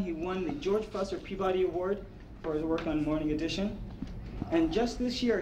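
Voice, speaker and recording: A man hesitantly giving a prepared introduction of a guest speaker at a meeting, stumbling a little as he goes. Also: a little high. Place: meeting room, picked up from afar. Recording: distant, echoey, noisy meeting-room recording.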